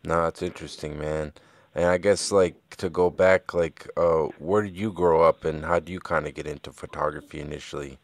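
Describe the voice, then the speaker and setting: A man's voice: very deep voice, steady tone, fairly quick, no room sound. A man hosting a podcast, asking questions in a laid-back, casual way and chiming in with easy encouragement. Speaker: podcast host. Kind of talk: casual interview chat.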